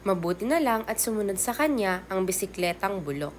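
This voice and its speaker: A woman's voice: mid-range voice, big pitch swings, a little fast. A woman teacher reading a children's storybook aloud, expressively and directly to young listeners. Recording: clear background noise.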